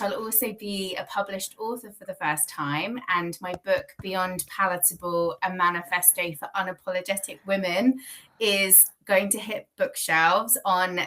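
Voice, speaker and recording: Woman guest, bright clear voice, chatty and quick to laugh, heard over a video call. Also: medium pitch. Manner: lively, laughs often, self-correcting. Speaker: guest, founder of a communications agency. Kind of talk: casual podcast conversation.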